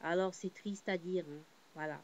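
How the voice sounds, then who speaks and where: A woman's voice: on the low side, normal speed, dull audio. A woman born in Portugal to a Cape Verdean family, telling a personal story in a casual, rambling way with many fillers and restarts. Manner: heartfelt, swings between subdued and animated.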